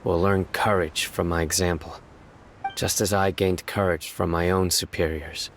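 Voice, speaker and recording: An actor playing a stern, reserved young judge in a video game, speaking slowly and seriously. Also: male voice, sits low, fairly even intonation, a bit bright, slight background noise. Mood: solemn. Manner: slow, measured, serious. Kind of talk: studio game dub. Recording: game audio with music behind.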